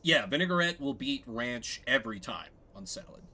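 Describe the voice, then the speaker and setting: Animated, opinionated male game streamer. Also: medium pitch, normal speed. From Midwestern United States. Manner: opinionated, rambling. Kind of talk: casual chat and game narration.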